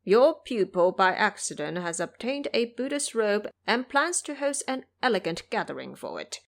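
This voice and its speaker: A courteous bear monster for a fantasy audio drama, read by a woman. The phrasing is formal and obsequious, full of humble flattery. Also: medium pitch, normal speed, creaky. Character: courteous, flattering male bear monster reading his invitation.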